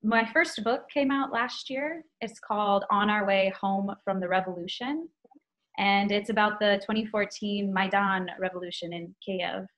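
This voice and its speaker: A woman's voice: mid-range voice, moderate pace, a bit bright. A young woman, a writer and professor, talking in an eager, casual way on a video call from home. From United States. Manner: eager, casual, uses "like" often. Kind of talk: conversation over video call. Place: home room.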